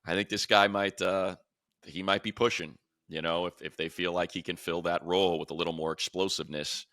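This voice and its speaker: A man's voice: sits low, fairly even intonation, brisk, a bit bright, no room sound, clean studio sound. A man hosting a sports podcast, talking through football opinions in a casual, conversational style. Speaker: sports podcast host and radio play-by-play announcer.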